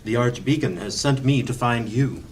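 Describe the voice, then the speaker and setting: A man's voice: mid-range voice, moderate pace, lightly acted. A man playing a courteous, grave paladin knight in a fantasy audio drama, speaking in formal, stately phrases. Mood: solemn, formal. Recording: distant mic, faint background noise.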